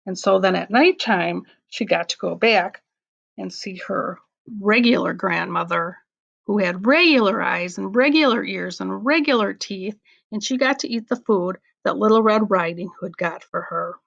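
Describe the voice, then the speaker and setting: A woman's voice: mid-range voice, normal speed, creaky, a bit bright, muffled recording. A woman telling a children's story aloud, as a storyteller for young schoolchildren.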